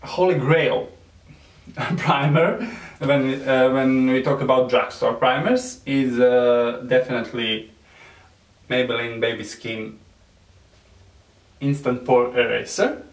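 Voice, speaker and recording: A man with a Serbian accent, whose first language is Serbian, gives a makeup tutorial in halting English with many fillers and soft nervous laughs. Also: medium pitch, fairly even intonation. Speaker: beauty vlogger. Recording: echoey room, mic at a distance.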